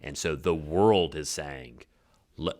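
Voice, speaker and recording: A man's voice: extremely low pitch, takes it slowly, a little mellow. A man co-hosting a church podcast, summing up history and thinking aloud with many restarts. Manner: thinks aloud with restarts, sums up and checks facts. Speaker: podcast co-host. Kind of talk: casual history discussion.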